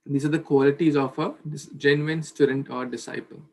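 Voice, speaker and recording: Man gravelly, recapping scripture on a video call. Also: on the high side, fairly even intonation, darkish tone. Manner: earnest, halting with fillers and restarts. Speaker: scripture class leader. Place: small bedroom at home, indoors. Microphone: laptop webcam on video call.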